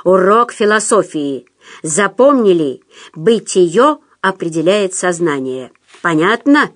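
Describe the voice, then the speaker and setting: A woman voicing a brutal, bullying man in an audiobook, hoarse and menacing, barking and hissing orders at underlings. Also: fairly low pitch, very animated, takes it slowly, heavily acted. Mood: commanding. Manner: menacing, hissing and shouting commands. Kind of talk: audiobook character voice.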